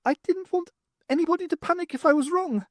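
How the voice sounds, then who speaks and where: A man voicing a timid, worrying rodent professor in an audiobook, squeaky and nervously defensive. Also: fast, no room sound, clean studio sound. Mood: anxious, defensive.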